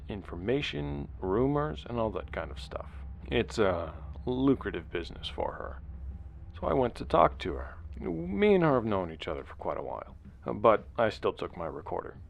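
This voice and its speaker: A man acts an earnest, weary investigator in a sci-fi audio drama. His low voice has some gravel in it, and he talks haltingly, as if telling his findings to a recorder. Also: a little hiss or hum. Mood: warm, confiding. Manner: halting, conversational, repeats words. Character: earnest, weary male investigator chronicling outcasts' histories. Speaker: narrator and interviewer. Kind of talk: audio drama narration and dialogue.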